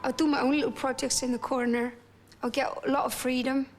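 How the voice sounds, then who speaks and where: High-voiced Icelandic woman, searching. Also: flat delivery, fast, soft background music. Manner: searching, with many restarts and repeated words. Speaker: interviewee. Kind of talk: reflective documentary interview.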